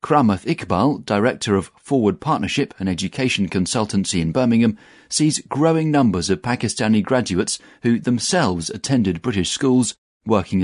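A man reading a news article aloud as narrator, in a measured, even way. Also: lowish voice, dry recording, studio quality. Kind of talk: scripted narration.

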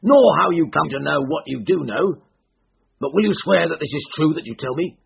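A man's voice: medium pitch, expressive, normal speed, vocal fry, slightly rough, highs cut off, voice pushed up. A man voicing a worn, suspicious, bitter ex-soldier in an audiobook, speaking vehemently and defensively. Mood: alarmed, suspicious. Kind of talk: audiobook character voice.

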